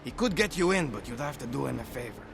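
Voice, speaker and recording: An actor playing a frightened, weary informant in a video game, gravelly and halting, pleading and bitter as he fears for his family. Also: medium pitch, animated. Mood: resigned, guarded. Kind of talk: dramatic video game acting. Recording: game dialogue under loud music. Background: game music.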